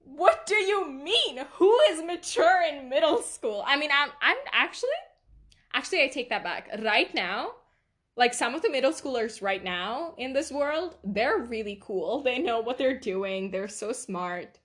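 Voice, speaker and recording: A woman with an accent talks through a casual solo podcast, her voice sitting fairly high. She takes her time, wandering between thoughts and breaking into laughter at her own stories. The tone is loose and self-mocking. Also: animated, a little slow, fairly bright tone. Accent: non-native accent. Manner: rambling, self-deprecating, laughs often. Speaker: podcast host.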